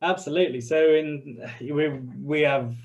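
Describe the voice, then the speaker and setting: A man's voice: fairly high pitch, a little slow, lo-fi sound. A man in an online interview, explaining his community radio station in a friendly, hesitant way with many restarts and light laughs.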